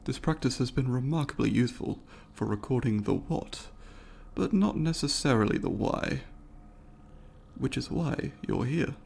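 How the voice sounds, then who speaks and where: Male actor as alien scholar, gravelly, mid-pitched, curious, formal, polite, measured ASMR roleplay delivery, faint background noise. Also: lively intonation, darkish tone. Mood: earnest, puzzled. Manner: formal, measured, academic wording with deliberate pauses. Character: curious, courteous male alien researcher interviewing a human. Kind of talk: ASMR roleplay, scripted monologue to the listener.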